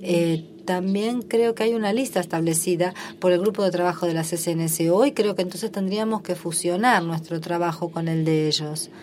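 A woman working as a simultaneous interpreter at a formal meeting, relaying each speaker in the first person and pausing often with filler sounds. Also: on the low side, darkish tone, slight background noise.

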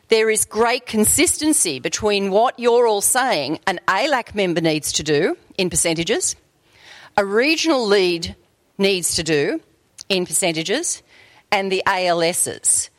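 A woman facilitating a workshop meeting in a meeting room, brisk and chatty, often checking in with the group. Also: medium pitch, no echo.

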